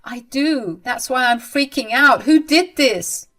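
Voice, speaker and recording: A woman's voice: sits high, expressive, takes it slowly, sharp tone, exaggerated voice. A woman voicing a young bride who is protective and indignant, speaking emphatically. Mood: worried, outraged. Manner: emphatic and outraged.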